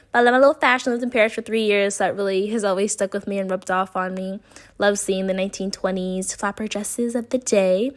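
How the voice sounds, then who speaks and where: A woman hosting a travel vlog, talking casually and chattily straight to her viewers. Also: a little high. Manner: chatty, informal, addressing viewers directly. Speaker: travel vlogger and host.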